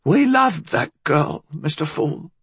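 A man narrating an audiobook plays a frail, cunning old blind woman with a menacing air. The voice is pushed high and swoops about, hissing and husky, with bitter formality in every phrase. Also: big pitch swings, takes it slowly, a bit bright, no echo, exaggerated voice. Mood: bitter, scornful.

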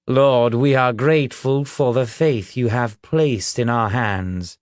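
A man voicing a stern, fanatical older male preacher in an audiobook, declaiming in drawn-out sermon phrases. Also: mid-range voice, no room sound, studio quality, natural voice. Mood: solemn praying.

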